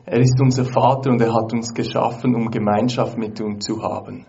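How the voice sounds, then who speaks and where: A man's voice: mid-range voice, fairly even intonation, normal speed, vocal fry, mellow, muffled recording. A man interpreting a sermon live, following closely behind the preacher's phrases.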